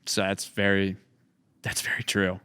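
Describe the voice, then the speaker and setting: A man's voice: deep voice, completely flat delivery, unhurried, bright, forceful tone, clear, resonant tone. A man hosting a casual podcast chat, talking in an informal, enthusiastic way. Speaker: podcast host.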